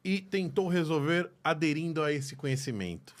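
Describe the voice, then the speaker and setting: A man's voice: a little high, expressive, moderate pace. Podcast host guy, swoops up and down a lot, joking around, trips over his words. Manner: playful and teasing, stumbling restarts. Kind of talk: casual interview chat.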